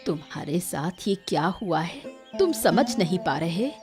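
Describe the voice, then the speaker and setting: A woman's voice: on the low side, sing-song, measured pace, over music. A woman playing a worried, shrewd old village mother in a moral-story cartoon. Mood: worried, suspicious. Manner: lamenting, suspicious.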